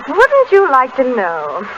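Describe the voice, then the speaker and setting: A woman's voice: high voice, highs cut off, full character voice. A woman playing a sly, commanding, scheming secretary in the style of 1930s radio acting. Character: cool, scheming female secretary, a crook's moll. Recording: noisy 1930s radio broadcast recording.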